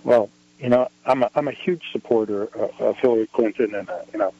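A man's voice: lowish voice, fairly quick, warm tone, breathy edge. A man calling in to a talk radio show, chatting in a hesitant way with many fillers. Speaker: caller. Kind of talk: call-in phone conversation. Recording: muffled radio call audio.

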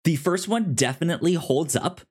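A man co-hosting a casual movie discussion, enthusiastic, with halting delivery and repeated, stammered sentence starts. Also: fairly high pitch, slightly rough. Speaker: podcast co-host.

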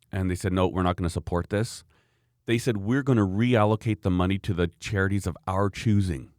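Deep-voiced man, casual chat, rambling and lively, dry sound. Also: no room sound. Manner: animated, rambling storyteller, frequent laughs.

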